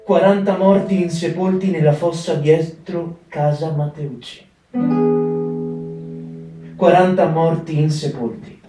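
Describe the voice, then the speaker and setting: A man narrating a theatre reading on the radio, slowly and solemnly describing a still, rain-soaked village. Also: a little high. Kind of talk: performed theatre reading with music. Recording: echoey, distant mic, guitar under.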